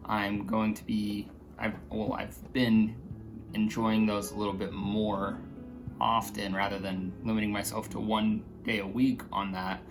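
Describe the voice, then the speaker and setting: Slightly raspy man vlogging casually. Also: lowish voice, level tone, measured pace, music in the background. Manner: hesitant, many fillers and restarts. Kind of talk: casual vlog chat.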